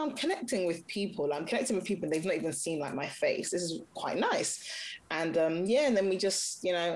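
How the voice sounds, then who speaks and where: A woman's voice: fairly low pitch, poor audio. A woman in her thirties, a consultant and content creator, talking casually about her life with frequent laughs and restarts. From Nigeria (Yoruba background), raised in Britain. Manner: chatty, laughs often, many restarts. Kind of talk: casual personal interview.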